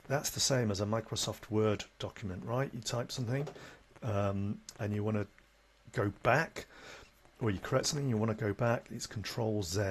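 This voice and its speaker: Man, lowish pitch, conversational instructor, unscripted software walkthrough, light ambient noise behind the voice. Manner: instructional, conversational, with tag questions. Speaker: software tutorial presenter. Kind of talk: unscripted screen-recording tutorial. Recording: noticeable background noise.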